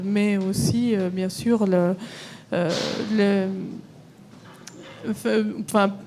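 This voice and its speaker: A woman's voice: medium pitch, dark tone, a little hiss or hum. A woman panelist answering audience questions at a conference, explaining carefully with many hesitations and restarts.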